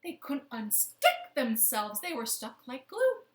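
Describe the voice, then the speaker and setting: Female children's storyteller: higher-pitched, very lively intonation, unhurried, expressive, roomy home recording. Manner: expressive, interactive, addressing young listeners. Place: living room at home. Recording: roomy, echoing home living room.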